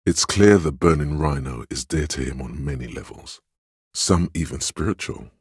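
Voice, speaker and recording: A man voicing a gentle, perceptive inner voice in a video game, narrating others' feelings in a measured, hushed, solemn and compassionate way. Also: very deep voice, expressive, husky, no echo, studio quality, exaggerated voice. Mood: warm, understanding. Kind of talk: scripted game narration, intimate inner monologue.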